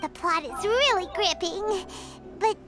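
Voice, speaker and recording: A woman voicing a tiny, excitable fairy companion in a video game, who chatters eagerly about herself in the third person. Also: extremely high pitch, a little slow. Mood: excited. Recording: game audio with loud music behind.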